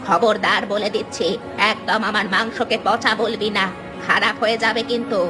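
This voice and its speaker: A woman's voice: mid-range voice, fairly even intonation, normal speed, music in the background, natural voice, low-quality recording. Woman acting a cantankerous old village woman, cartoon-style. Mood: indignant. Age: older. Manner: scolding and complaining, rustic speech. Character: aggrieved, cantankerous old village woman who sells meat.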